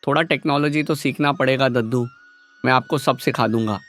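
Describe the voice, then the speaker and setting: A man's voice: mid-range voice, a little fast, a bit bright, faint music behind, natural voice. A man playing a friendly, confident young college student visiting his village in a moral story. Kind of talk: animated moral story dialogue.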